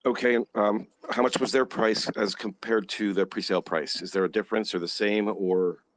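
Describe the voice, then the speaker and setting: A man's voice: on the low side, fairly even intonation, moderate pace, dry recording, poor audio. A man asking hesitant, tentative questions during a Q&A on a video call. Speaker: audience member asking questions.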